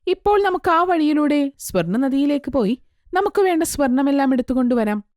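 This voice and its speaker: A woman's voice: high voice, big pitch swings, brisk, a little mellow, no echo, studio quality. A woman voicing outspoken, questioning young daughters of a goldsmith in a children's story. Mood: urgent, persuasive. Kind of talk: children's story character acting.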